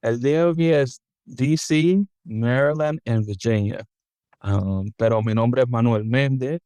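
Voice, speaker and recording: A man from the Dominican Republic, a podcast guest, talking in a relaxed, thoughtful way with frequent ums and restarts, mixing English and Spanish. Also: medium pitch, unhurried, clear, resonant tone, no room sound. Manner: thoughtful, many ums and self-corrections. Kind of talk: casual interview, switching between English and Spanish.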